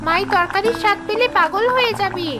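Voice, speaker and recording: A woman performs a young, scheming daughter-in-law from a cartoon fairy tale. The voice is tiny and extremely high, almost a child's. Prominent music plays behind it. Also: fairly quick. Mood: eager, confident.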